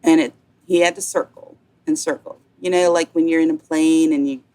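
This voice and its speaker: Woman, slightly low voice, enthusiastic conversational guest, casual storytelling. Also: steady tone, moderate pace, warm tone. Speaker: guest, yacht sales representative. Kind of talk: casual chat, telling an anecdote.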